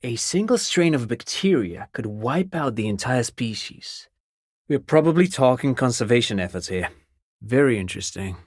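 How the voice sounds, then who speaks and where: A man's voice: mid-range voice, animated, normal speed, no room sound, clean studio sound, lightly acted. A man playing an eager, erudite consultant to the police in a video game, speaking in a polite, pedantic, lecturing manner. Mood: fascinated, musing. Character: eager, erudite male civilian consultant to police, digressive and excitable.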